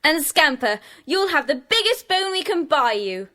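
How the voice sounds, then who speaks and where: A woman's voice: sits high, sing-song, moderate pace, pushed and bright, exaggerated voice. A woman playing an eager, gushing little schoolgirl in a children's audio drama.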